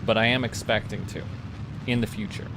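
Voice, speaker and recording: Low-pitched Canadian man streaming a game. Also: steady tone, a little fast, noisy background. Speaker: livestream host playing a space simulation game. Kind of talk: casual gameplay commentary to a live chat. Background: music.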